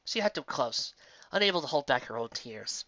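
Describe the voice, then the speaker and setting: Woman reading a story, low but bright voice, lively delivery, dull dry recording. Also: normal speed, no room sound. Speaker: narrator, fan fiction reader. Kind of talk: expressive storybook narration. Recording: dull, muffled audio.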